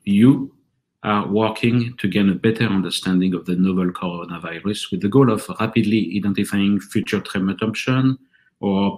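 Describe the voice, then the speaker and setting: A man with a French accent giving a measured, earnest conference presentation for his company. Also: on the low side, a little mellow. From France. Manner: earnest, measured delivery with occasional restarts.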